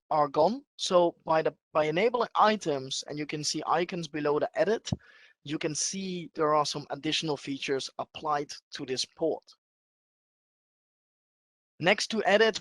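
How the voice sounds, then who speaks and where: A man with a fairly high-pitched voice presents a software demonstration. He goes through it step by step in an instructional, somewhat halting manner. The sound is dry, with very little room in it. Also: dry recording. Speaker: webinar presenter.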